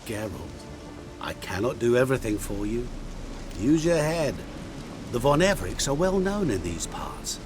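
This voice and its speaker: Guy doing a sly mysterious gentleman tempter from a game, super swoopy mid voice, playful and smug. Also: mid-range voice, big pitch swings, moderate pace, music in the background, close to an everyday voice. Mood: teasing. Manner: teasing, suave, sing-song. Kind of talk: video game acting.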